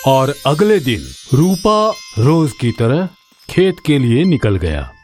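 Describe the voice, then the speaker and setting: A man narrating a scripted children's story in an expressive storytelling manner. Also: mid-range voice, slow, soft background music.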